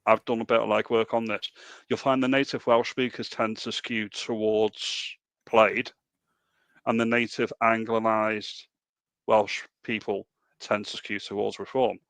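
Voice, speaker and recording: A man with a Liverpool accent hosting a casual political chat, animated and full of rhetorical questions. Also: on the low side, unhurried, no echo. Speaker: podcast host.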